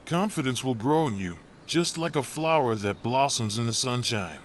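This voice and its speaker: A man voicing a wise, kindly old elephant in a children's storybook, speaking softly and encouragingly. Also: mid-range voice, lively intonation, moderate pace, a little hiss or hum, natural voice. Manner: soft, gentle, encouraging.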